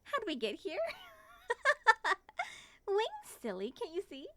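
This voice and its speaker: A woman's voice: extremely high pitch, slow pace, no room sound, full character voice. A woman playing a playful, flirtatious moth monster woman with a teasing manner in an ASMR roleplay. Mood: mocking, gleeful. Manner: teasing, sing-song endearments and excited exclamations.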